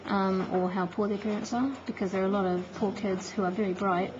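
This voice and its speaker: A woman, a teacher answering interview questions in a relaxed, reflective way with easy laughs. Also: mid-range voice, a little fast. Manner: chatty, laughs easily, reflective. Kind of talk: casual phone interview. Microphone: phone. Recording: muffled phone-call recording with crowd noise behind.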